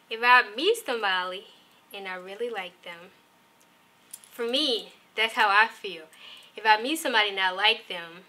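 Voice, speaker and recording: A woman guest on a talk show, speaking candidly and relaxed about dating. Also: mid-range voice. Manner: candid, relaxed, gives short agreeing replies. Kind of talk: casual talk show interview.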